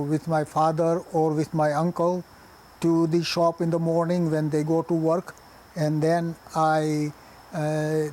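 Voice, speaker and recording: An older man from Gujarat in India, a native Gujarati speaker with a Gujarati Indian accent, recalling his life in plain, halting speech with pauses and restarts. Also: fairly high pitch, mellow, slight background noise. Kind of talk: oral history reminiscence.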